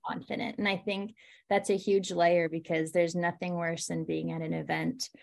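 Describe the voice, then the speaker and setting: Woman host of an interview podcast; upbeat, encouraging, gravelly fry. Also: lowish voice, level tone, moderate pace. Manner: upbeat, laughs easily, encouraging.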